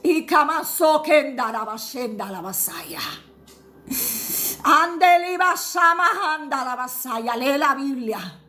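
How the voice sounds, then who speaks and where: A woman preacher with a high, bright voice delivers a fervent Pentecostal prophecy. She speaks slowly and emphatically, repeating her exhortations and breaking into tongues. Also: expressive.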